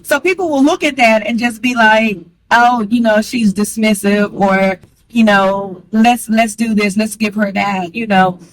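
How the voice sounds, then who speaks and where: A woman talking fast and candidly to the camera, chatty and frank, with a strong voice. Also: mid-range voice, steady tone, rough recording. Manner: chatty, candid, calls listener girl; fast talker. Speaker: vlogger. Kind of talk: casual talk to camera.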